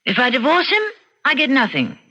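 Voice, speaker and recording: An actress playing a bored, cunning wife in her thirties in an old-time radio drama, with cool, theatrical, deliberate delivery. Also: sits high, big pitch swings, pushed and bright, full character voice. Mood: cool, deadly serious. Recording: dull, noisy vintage radio recording.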